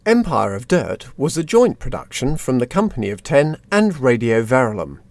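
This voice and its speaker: A man's voice: medium pitch, very animated, normal speed, creaky, a little mellow, no room sound. A man announcing the closing credits of a radio drama in a scripted style.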